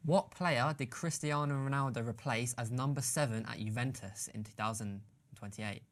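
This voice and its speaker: A man's voice: medium pitch, normal speed, a little hiss or hum. A man acting as quizmaster on a football podcast, reading out questions, counting down the seconds and teasing the panel. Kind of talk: reading quiz questions, casual banter.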